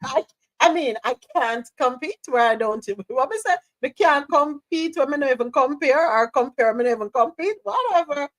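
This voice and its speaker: A Jamaican woman hosting a live internet radio show. She has a bright, high-ish voice that leaps up and down, and she drops into patois between laughs. The sound is dry and close. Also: normal speed, no room sound, rough recording. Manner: animated, laughing, slips into patois. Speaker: host. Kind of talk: casual live radio chat, reading news.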